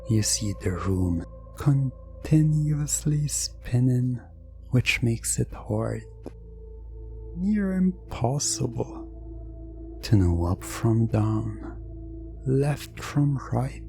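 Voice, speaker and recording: An ASMR hypnosis narrator speaks extremely slowly and deliberately, repeating phrases. The mid-pitched voice has a crackling fry and at times falls to a near-whisper. Music plays clearly behind it. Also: animated, mellow. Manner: deliberate, repetitive phrasing with lingering pauses. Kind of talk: slow hypnotic ASMR roleplay narration in second person.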